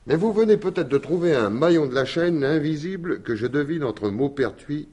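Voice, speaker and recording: A man's voice: on the high side, expressive, normal speed, a little mellow, breathy edge. An actor playing a shrewd, wry and insistent police commissioner in a French detective radio drama, musing aloud and pressing his points. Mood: musing, probing.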